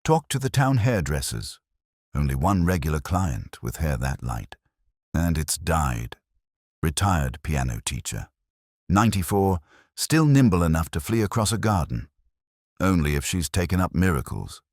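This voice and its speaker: A man's voice: rumbling low, sing-song, a little slow, no room sound, heavily acted. A man voicing a matter-of-fact police sergeant who reports in a clipped, dry manner in an audio mystery.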